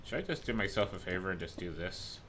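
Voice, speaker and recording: A man's voice: low voice, expressive, quick pace, fairly bright tone, a little hiss or hum. A man streaming a game in a loose, rambling chat who swears freely, and who is losing his voice. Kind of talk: casual gameplay chat.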